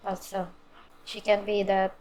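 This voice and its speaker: A woman's voice: mid-range voice, monotone, measured pace, a little mellow, rough recording. A woman game streamer giving casual live reaction commentary.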